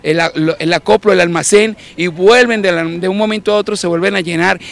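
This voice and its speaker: A man's voice: high voice, fairly quick, gravelly, a bit bright. A man giving a devout, emphatic interview answer, stumbling over words outdoors. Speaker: volunteer interviewee.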